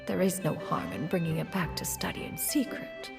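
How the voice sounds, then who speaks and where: A hushed, secretive immortal. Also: female voice, on the low side, normal speed, vocal fry. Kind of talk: game dialogue. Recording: game music behind.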